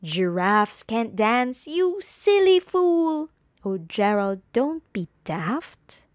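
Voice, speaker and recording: A woman voicing an excitable crowd of storybook jungle animals in a loud, exclaiming, exaggerated way. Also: fairly high pitch, drawn-out words, no room sound, studio quality, full character voice. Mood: mocking.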